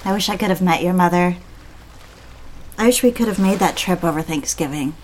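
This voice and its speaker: A woman's voice: on the low side, brisk, a little hiss or hum, lightly acted. An actress playing a romantic, yearning woman in love, intimate and reflective, in a confessional audio drama. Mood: tender, curious. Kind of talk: audio drama, confessional first-person narration.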